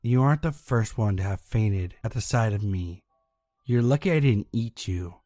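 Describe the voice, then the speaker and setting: A man's voice: on the low side, expressive, normal speed, dark tone, no echo, clean studio sound, soft background music, natural voice. A man voicing a hissing, snake-like Christmas monster. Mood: menacing. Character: thin hissing male Christmas monster, sinister.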